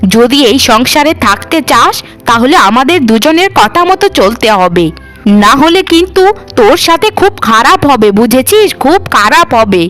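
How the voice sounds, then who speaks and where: A woman's voice: high voice, very animated, measured pace, fairly bright tone, faint music behind, exaggerated voice. A woman voicing a bossy, scheming elderly mother-in-law in a melodramatic cartoon. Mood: harsh, scolding. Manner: scolding, theatrical.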